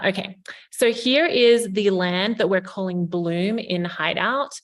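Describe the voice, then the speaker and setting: Aussie woman, kinda low voice, upbeat sales-y pitch to the council, lots of ums. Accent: Australian. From Australia. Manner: upbeat, selling, frequent ums. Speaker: developer presenting to a town council. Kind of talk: informal presentation.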